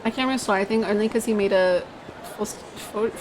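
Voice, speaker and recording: A woman in her twenties chatting casually as she livestreams a video game, with an expressive, giggly, exclamatory way of talking. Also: mid-range voice, brisk, background noise. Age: 20s. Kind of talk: casual livestream chat over gameplay, reading out comments. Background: video game music.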